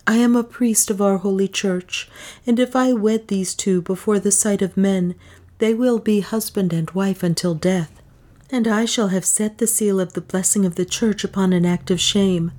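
A woman voicing a gentle, frightened but righteous male priest in an audiobook, soft, girlish and solemn. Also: mid-range voice, fairly even intonation, moderate pace, voice pushed up, close to an everyday voice. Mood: solemn protest. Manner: solemn, formal, earnest.

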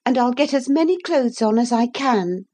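A woman's voice: fairly high pitch, animated, mellow, husky, pitched above the performer's own voice. A woman voicing an earnest, anxious little girl in a storybook reading, with a breathless, eager way of talking. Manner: breathless, earnest, quick to apologize. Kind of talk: audiobook character voice.

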